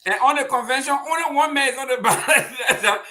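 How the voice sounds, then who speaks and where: A man's voice: very high voice, fairly quick, pushed and bright. A man in his sixties hosting a political talk show, asking teasing questions and often laughing. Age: 60s. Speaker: show host. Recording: dull lo-fi audio.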